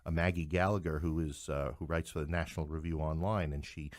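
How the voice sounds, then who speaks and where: A man's voice: a bass voice, steady tone, brisk, dry recording. A middle-aged man from New York City talking as a playwright guest in a conversational interview, with wry joking asides.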